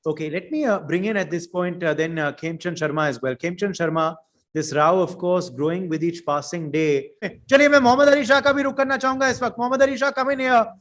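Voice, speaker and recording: Indian tv anchor guy, high voice, rapid fire, pushing for yes or no. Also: fairly even intonation, a bit bright. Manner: pressing, demands a yes or no answer. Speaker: news anchor. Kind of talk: moderating a TV news debate.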